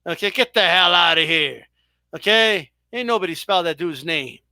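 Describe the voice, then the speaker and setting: A man with a high, bright voice riffs loosely to his live chat about the fights, swearing freely and teasing the viewers. The sound is dry and close, with no room around him. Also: animated, moderate pace, gravelly, clean tone, no room sound. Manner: profane, chatty, banters with viewers. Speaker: boxing live-stream host. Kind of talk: casual live commentary to chat.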